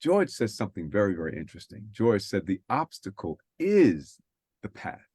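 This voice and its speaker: A man's voice: on the low side, big pitch swings, moderate pace, a little mellow, breathy edge, no room sound, studio quality. A man from New City, hosting a radio faith talk, earnest and preacherly, repeating his phrases for emphasis. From New York City. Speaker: radio show host.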